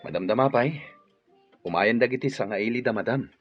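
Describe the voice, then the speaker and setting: A man's voice: medium pitch, brisk, faint music behind, close to an everyday voice. A man acting a bashful, hesitant household worker in a radio drama. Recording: muffled radio drama recording.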